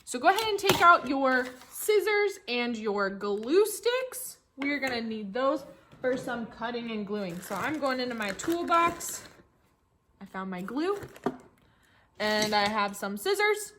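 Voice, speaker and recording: Female elementary teacher, American accent, highish bright voice, slow and repetitive delivery for little kids. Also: slight background noise. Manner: animated, exaggerated surprise and rhetorical questions for children; simple explanations with repetition. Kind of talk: read-along tracing lesson for young children.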